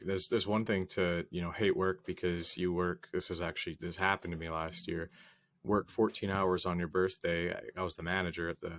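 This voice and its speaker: A man co-hosting a casual podcast, his voice slightly raspy from a cold, telling stories and joking. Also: low voice, fairly bright tone, no echo. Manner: joking, storytelling with analogies. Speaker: podcast co-host.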